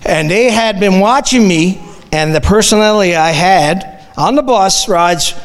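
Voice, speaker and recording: A man's voice: high voice, expressive, a little slow, long reverb tail. A middle-aged man from Newfoundland with a Newfoundland accent, earnestly reading his personal testimony aloud to a church congregation with small restarts. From Newfoundland, Canada. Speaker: guest giving a church testimony. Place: church hall.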